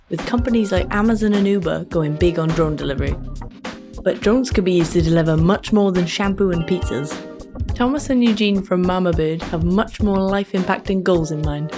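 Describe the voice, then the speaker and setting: A woman hosting a podcast, reading scripted narration in a smooth, measured way. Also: lowish voice. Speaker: podcast host. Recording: music bed underneath.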